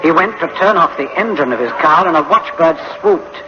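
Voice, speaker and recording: Man acting anxious engineer, swooping dramatic pitch. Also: expressive, a little fast, lightly acted. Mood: grave. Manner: grave, emphatic, theatrical delivery. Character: anxious, grave male engineer, dreading disaster. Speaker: actor in a radio drama. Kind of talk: dramatic sci-fi radio acting. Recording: dull, faintly noisy old radio recording.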